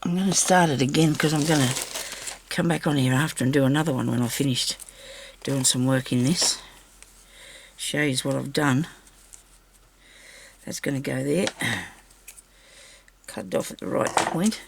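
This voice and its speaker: A woman with a slightly raspy voice, recovering from a sore throat, chatting casually to viewers and to herself as she crafts. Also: a bass voice, moderate pace, pauses often, slight background noise. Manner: chatty, talks to herself while working. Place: craft table indoors.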